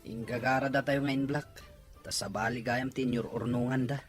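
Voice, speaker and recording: A man's voice: medium pitch, steady tone, moderate pace, loud music behind the voice, natural voice. A man with an Ilocano accent acting as a worried adult son in an audio drama. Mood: subdued, respectful. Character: adult son anxious about the family's pawned farmland.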